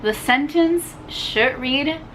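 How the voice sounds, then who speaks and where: A woman's voice: high voice, slow pace, a bit bright, slight background noise. A young woman whose first language is Spanish, chatting casually and ranting animatedly about a book, with lots of fillers. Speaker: book vlogger. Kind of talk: casual book review chat.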